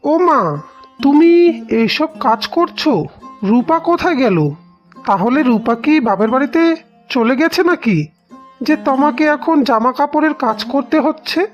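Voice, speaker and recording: A woman's voice: high voice, lively intonation, normal speed, long pauses. A woman voicing a stern, indignant young husband and devoted son in a cartoon. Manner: indignant, scolding. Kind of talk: cartoon dialogue acting. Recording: dull audio with music behind.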